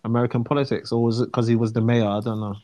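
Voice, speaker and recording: A man's voice: medium pitch, little pitch movement, rapid-fire, mellow, a little hiss or hum. A man co-hosting a live stream, chatty and laid-back, using street slang and laughing often. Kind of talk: casual live chat.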